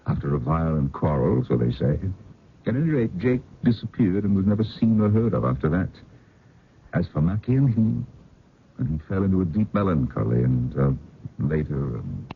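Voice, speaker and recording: An actor playing a haunted older man, telling ghost tales in a halting, dramatic old-time radio style with muttered pauses. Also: deep voice, very animated, vocal fry, heavily acted. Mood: grave storytelling. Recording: muffled phone-like radio recording, faint noise.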